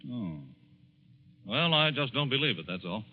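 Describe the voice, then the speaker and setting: An actor playing a skeptical, irritated editor in a 1940s radio suspense drama, brusque and dismissive. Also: male voice, medium pitch, very animated, normal speed, lightly acted. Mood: wary, dismissive. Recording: muffled, hissy, reverberant 1940s radio broadcast.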